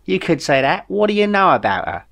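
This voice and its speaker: A man's voice: sits high, sing-song, brisk, creaky, fairly bright tone, pitched above the performer's own voice, heavily acted. A man voicing a bossy, vengeful alien queen with a brash, sarcastic Cockney accent, reading an audiobook story. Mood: demanding. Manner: brash, bawling, sarcastic, slangy.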